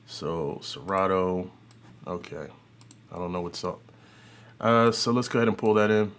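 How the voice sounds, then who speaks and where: A man hosting an audio software tutorial, talking informally and thinking aloud as he works through a demo. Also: low voice, normal speed, clean tone. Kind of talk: casual demo commentary.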